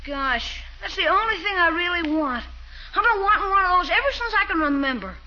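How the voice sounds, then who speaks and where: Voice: extremely high pitch, expressive, a little fast, pushed and bright, exaggerated voice. An actor playing a cheeky, wheedling young boy, a nephew in a 1940s radio comedy. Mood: eager, wheedling. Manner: wheedling, breezy, slangy. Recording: muffled 1940s radio recording.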